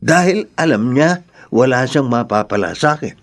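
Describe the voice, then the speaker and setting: An actor playing a world-weary, sympathetic older brother, confiding and resigned, in a radio drama. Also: male voice, medium pitch, expressive, moderate pace, close to an everyday voice. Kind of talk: radio drama acting in Tagalog.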